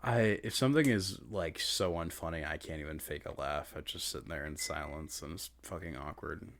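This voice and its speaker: Young male podcast co-host, very low voice, deadpan and teasing, tired. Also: brisk, vocal fry, slight background noise. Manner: deadpan, teasing, swears casually. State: tired from poor sleep. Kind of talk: casual chat.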